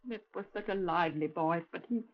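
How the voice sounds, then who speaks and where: A woman playing a grief-stricken, self-accusing mother with a halting, intense delivery in a radio drama. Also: fairly low pitch, natural voice. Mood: controlled anguish. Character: grief-stricken mother, controlled yet guilt-ridden. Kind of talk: 1950s radio drama acting. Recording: dull 1950s radio recording.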